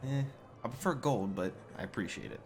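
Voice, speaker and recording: A man's voice: mid-range voice, expressive, brisk. A man role-playing his character in a video game, casually musing aloud and chatting as he goes. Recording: game music behind the voice. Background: game music.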